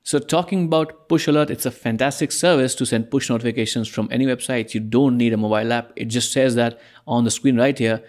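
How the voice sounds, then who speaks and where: A man talks through a software tutorial over a screen recording, explaining each step in a relaxed, conversational way. His voice sits at an ordinary middle pitch, and he sometimes corrects himself partway through a sentence. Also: a little fast, few pauses. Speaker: tutorial presenter.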